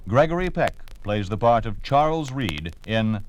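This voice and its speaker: A man's voice: fairly low pitch, sing-song, unhurried, a little hiss or hum. A man narrating a scripted, dramatic introduction to a radio play. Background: music.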